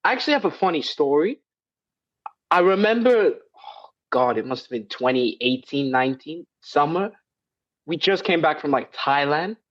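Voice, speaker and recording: Excited teen boy storyteller on a dull line. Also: on the high side, moderate pace. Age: teens. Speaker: podcast guest. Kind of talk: casual anecdote. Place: video call.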